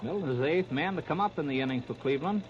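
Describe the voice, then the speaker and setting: A male baseball announcer gives a live 1930s radio play-by-play in a fairly high voice. He narrates in short, clipped, present-tense phrases. The old recording is muffled, with clear hiss and noise over it. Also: lively intonation, racing.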